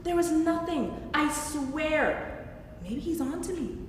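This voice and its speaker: A distant, echo-heavy staged reading of an anxious, sarcastic man, played by a young woman. The voice is on the high side and the delivery is dramatic and quippy, with fondness under the fretting. Faint noise sits behind it. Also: expressive, slow pace. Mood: suspicious. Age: young adult. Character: Wes, anxious, sarcastic man cooped up at home, fond of his partner. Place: staged reading in a large echoey room. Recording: echoey hall, far mic, faint noise.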